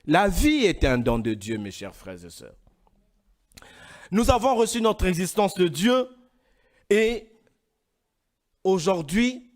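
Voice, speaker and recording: Man, preacher, from Congo, sermon, high lively voice, slow delivery. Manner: emphatic, rising into louder, higher passages.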